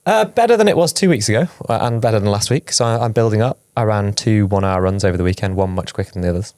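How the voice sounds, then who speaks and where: A man with a low voice talks quickly and at length, as if chatting with friends. He rambles a little and teases, and the dry studio sound keeps him close to the mic. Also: animated, a little mellow, dry recording. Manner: chatty, rambling, playful teasing. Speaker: podcast co-host. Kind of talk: casual podcast chat.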